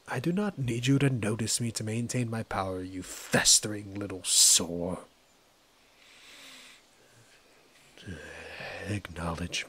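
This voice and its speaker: A man voicing a proud, needy, menacing ancient forest entity in an ASMR roleplay, breathy and husky, with theatrical, formal diction. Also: fairly low pitch, exaggerated voice. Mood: frustrated, demanding. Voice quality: breathy, husky, often dropping toward a whisper.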